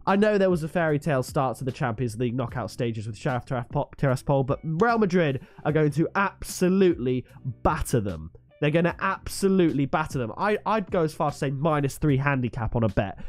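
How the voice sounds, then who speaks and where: A man with a slightly higher voice and a stuffy, bunged-up nose from a cold talks through his football picks. He sounds chatty and sure of himself, and faint music plays underneath. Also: expressive, a little fast. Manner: chatty, confident, hypes the fixtures. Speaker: football predictions YouTuber. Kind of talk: solo vlog match predictions.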